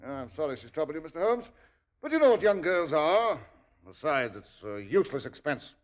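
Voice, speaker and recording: A man's voice: a little high, very animated, fairly quick, big-room sound, highs cut off. A man playing an evasive, nervous stepfather in a 1940s radio drama, with a fussy, ingratiating manner.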